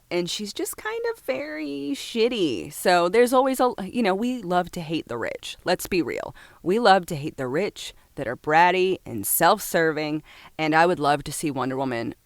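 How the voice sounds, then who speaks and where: A woman's voice: fairly low pitch, sing-song, a little slow, no room sound, clean studio sound. A woman reading out a list as casual commentary, breaking off into informal, opinionated asides with some swearing. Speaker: reviewer reading a comics top-ten list. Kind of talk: casual list commentary, partly read from a script.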